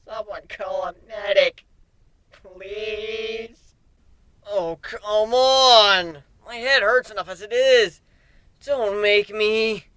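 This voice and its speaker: A man voicing a brash male cartoon pony who whines and exclaims excitedly in a dramatic story reading. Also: high-pitched, drawn-out words, pitched above the performer's own voice, heavily acted. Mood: pained whining.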